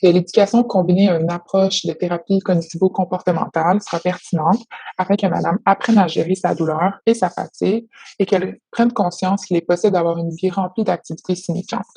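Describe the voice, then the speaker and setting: Husky, mellow woman. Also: lowish voice, dry recording. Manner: measured, formal, explanatory. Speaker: occupational therapist. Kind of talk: professional phone consultation, prepared report.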